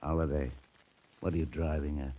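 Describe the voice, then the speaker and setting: An American actor playing a stern, suspicious police lieutenant in a clipped, gruff, commanding manner in 1940s radio drama style. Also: male voice, rumbling low, little pitch movement, brisk, warm tone. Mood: suspicious, pressing.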